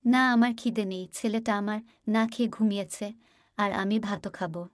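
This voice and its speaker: A woman playing a worried, exasperated poor village mother in a cartoon, plaintive and quick to scold. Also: mid-range voice, steady tone, no room sound, studio quality, lightly acted. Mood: reproachful.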